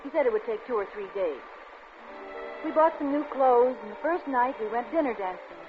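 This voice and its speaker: A woman's voice: a little high, animated, normal speed, music in the background, natural voice. An actress playing a scheming, vengeful and seductive woman crook in a 1940s radio suspense drama, narrating her own crime. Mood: confiding. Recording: dull, noisy old radio recording.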